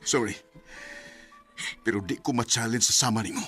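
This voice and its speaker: Slightly husky young boyfriend, Bisaya accent. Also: male voice, mid-range voice, moderate pace, a bit bright, faint music behind. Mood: defensive, firm. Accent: Filipino Bisaya. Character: young boyfriend, smooth-talking and self-justifying. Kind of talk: Bisaya radio drama acting.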